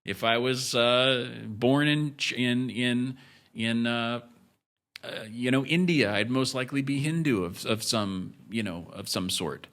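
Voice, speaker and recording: A man's voice: medium pitch, measured pace, a bit bright. A man as a guest on a candid podcast, talking bluntly and with some swearing, breaking off and restarting his sentences. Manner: blunt and profane, speaks in bursts with restarts.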